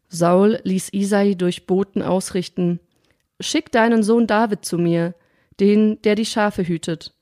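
A woman hosting a podcast on sermon ideas, chatting in a friendly way and reading a scripture passage aloud in a measured voice. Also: medium pitch, darkish tone, no echo, clean studio sound. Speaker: podcast host. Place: studio or quiet room, sitting across from the guest.

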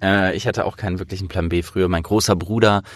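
Low-voiced man hosting a casual interview. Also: fairly even intonation, fast, dry recording. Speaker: interviewer and host; trained voice actor.

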